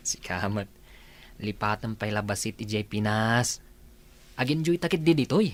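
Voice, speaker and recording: A man's voice: lowish voice, lively intonation, unhurried. A man acting an easygoing, teasing workmate in an Ilocano radio comedy drama.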